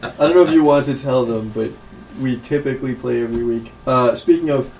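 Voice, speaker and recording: A man's voice: mid-range voice, fairly quick, darkish tone, far from the mic, a little hiss or hum, rough recording. A man running a tabletop role-playing game for friends, joking and laughing between rulings. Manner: laughs often, loose joking delivery. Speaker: game master.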